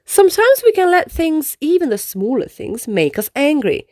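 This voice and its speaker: Female storybook reader, high voice, very lively intonation, expressive teaching delivery for children, dry close sound. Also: moderate pace, dry recording. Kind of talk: read-aloud storybook narration.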